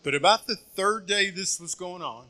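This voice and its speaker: A man giving a sermon testimony in English, speaking in short phrases and pausing for his interpreter. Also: sits high, sharp tone. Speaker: guest preacher.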